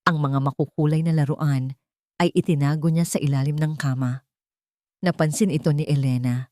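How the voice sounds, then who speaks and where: A woman's voice: sits low, fairly even intonation, normal speed, warm tone, dry recording, clean studio sound. A woman narrating a scripted dramatic story in an earnest, suspenseful storytelling manner. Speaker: audiobook narrator.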